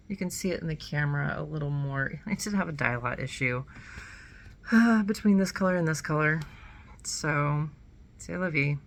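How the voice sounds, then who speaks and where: A woman's voice: low voice, slight background noise. A woman in her thirties chatting casually about cross stitch to camera at home, a bit nasal from a cold and rambling with small self-corrections. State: nasal, getting over a cold. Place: small indoor room at home.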